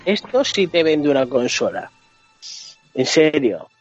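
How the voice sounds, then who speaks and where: A man's voice: a little high, sing-song, takes it slowly. A man with an accent from Spain chatting casually and colloquially on a video game podcast, swearing freely. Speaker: podcast panellist. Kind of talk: casual chat about video games. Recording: dull lo-fi sound, faint music.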